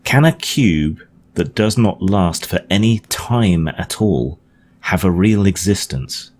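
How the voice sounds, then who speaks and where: A man voicing an earnest Victorian gentleman scientist in an audiobook, lecturing his guests in a precise, emphatic, didactic way. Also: sits low, expressive, takes it slowly. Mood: earnest, challenging. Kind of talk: audiobook character dialogue. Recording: clearly noisy home recording.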